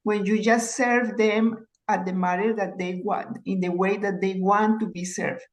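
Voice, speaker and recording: A woman talks on a video call. She is hesitant, often repeats words and searches for phrasing. Her voice sits at a middle pitch. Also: steady tone, measured pace. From Colombia. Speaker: participant, real estate agent. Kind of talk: casual video call discussion.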